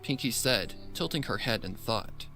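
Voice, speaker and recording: A man's voice: mid-range voice, lively intonation, moderate pace, sharp tone, faint music behind, rough recording. A man reading a scripted story aloud as a narrator. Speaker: fan fiction narrator.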